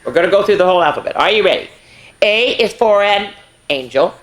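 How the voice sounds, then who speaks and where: An older woman hosting a story time, reading picture books aloud to children with playful, animated asides. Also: mid-range voice, brisk, low-quality recording. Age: older, grandmother. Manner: playful, animated, chatting in asides to young listeners.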